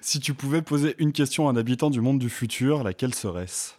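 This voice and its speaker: A man hosting a casual podcast interview, asking questions in a relaxed, teasing way. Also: medium pitch, fairly quick. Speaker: podcast host.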